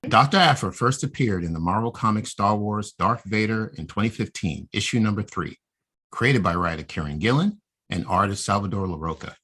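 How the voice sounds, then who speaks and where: A man co-hosting a casual podcast, reading a story recap in a steady, plain way with small asides. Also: lowish voice, brisk, breathy edge. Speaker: podcast co-host.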